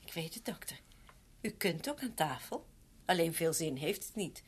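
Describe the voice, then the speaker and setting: An actress playing a glum, deferential housekeeper in a Dutch radio drama, with a husky voice. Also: female voice, sits low, very animated, normal speed, full character voice. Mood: resigned, glum.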